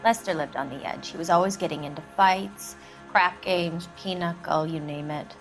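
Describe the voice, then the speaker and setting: A woman's voice: on the low side, normal speed, soft background music, close to an everyday voice, rough recording. An American woman playing a brazen, evasive widow in a 1940s crime video game, with a curt, defiant manner. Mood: defiant, cagey. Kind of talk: dramatic video game acting.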